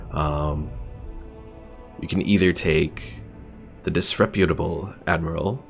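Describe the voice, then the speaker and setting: Low, creaky-voiced man streaming a game. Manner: rambling, thinking aloud. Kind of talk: casual gameplay commentary while chatting with viewers. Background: game music.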